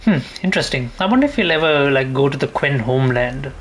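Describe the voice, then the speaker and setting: A man's voice: medium pitch, lively intonation, quick pace, a bit bright, a little hiss or hum. A man giving casual gameplay commentary as a streamer, rambling and thinking aloud as he plays. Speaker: gaming streamer. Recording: faint game ambience behind. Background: video game ambience.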